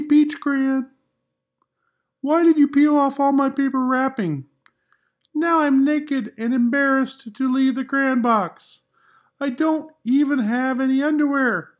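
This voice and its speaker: A high-voiced, embarrassed little crayon from a picture book, played by a man. It pleads and complains in a plaintive, whiny way. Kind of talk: children's picture book read-aloud.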